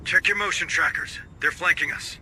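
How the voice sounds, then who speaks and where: An actor playing a gruff, stubborn commander of space marines in a video game, giving clipped, commanding briefings with dry sarcasm. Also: male voice, high voice, normal speed, bright, forceful tone, slight background noise, low-quality recording. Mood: dry, urgent. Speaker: military commander. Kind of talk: video game cutscene acting and mission briefing.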